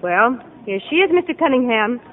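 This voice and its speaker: An actress playing a brisk, coaxing asylum nurse in 1950 radio drama style. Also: female voice, a little high, animated, natural voice. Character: brisk, bossy-kindly female asylum nurse. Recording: muffled narrow-band 1950 radio broadcast.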